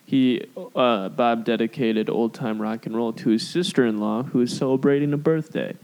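A man's voice: mid-range voice, fairly even intonation, normal speed, a little mellow, poor audio. A man co-hosting a casual podcast chat. He is losing his voice, laughs often, and reads review quotes aloud. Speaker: podcast co-host.

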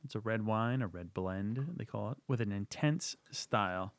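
A man's voice: fairly low pitch, moderate pace, dry recording. Dry, sarcastic man, close and dead. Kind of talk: casual chat.

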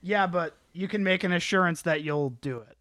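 A man's voice: high-pitched, moderate pace, fairly bright tone, no echo, studio quality. A man chatting casually in an eager, coaxing manner. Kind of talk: casual banter.

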